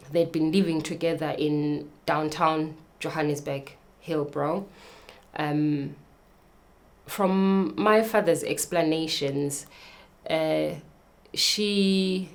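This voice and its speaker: A woman in her thirties from Zimbabwe tells her own story in a low, deep voice. She talks slowly and carefully, stopping often with hesitant ums as she gathers her words. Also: gravelly. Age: 30s. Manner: slow, careful, with many pauses and ums. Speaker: guest. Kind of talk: personal appeal, telling her story.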